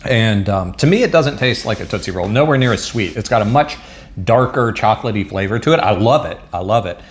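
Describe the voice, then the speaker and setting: A man's voice: fairly low pitch, animated, a little fast, a little hiss or hum. A man with a Southern US accent, chatting casually and rambling with plenty of ums while reviewing food to camera. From Southern United States. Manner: rambling, chatty, self-deprecating, frequent um and uh. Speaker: MRE taste-test vlogger. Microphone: mic set up at a table.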